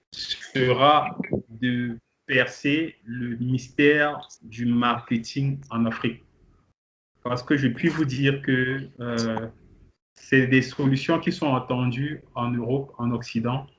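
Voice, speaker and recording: A man's voice: medium pitch, steady tone, takes it slowly. A man leading an online training kickoff meeting, presenting to participants with many hesitations and restarts. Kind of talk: presenting to participants on a video call. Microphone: video call. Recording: muffled call audio with faint noise.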